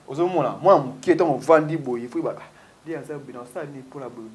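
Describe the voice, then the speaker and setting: A man chatting as a guest, rambling and animated with lots of fillers, switching into Lingala. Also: a little high, fast, slight background noise. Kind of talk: casual chat mixing French and Lingala.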